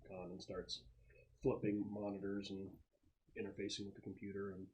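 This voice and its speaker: A man's voice: sits low, completely flat delivery, moderate pace, darkish tone, slight background noise, rough recording. A man narrating a tabletop game as game master in a casual style.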